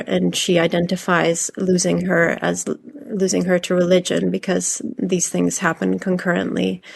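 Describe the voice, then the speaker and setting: A woman's voice: on the low side, creaky. A woman from Hungary being interviewed as a novelist, talking reflectively and conversationally with tentative phrasing.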